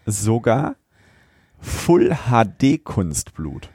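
A man with a lowish voice, chatting casually as a podcast co-host. He stays wry and matter-of-fact, adding asides from his notes. The sound is close and dry. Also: big pitch swings, drawn-out words, dark tone, husky, no echo. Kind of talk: casual review chat.

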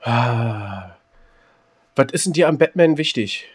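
A man's voice: medium pitch, animated, slow, breathy edge. A man co-hosting a podcast in casual chat, hesitant and repeating words as he gives opinions. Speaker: podcast co-host.

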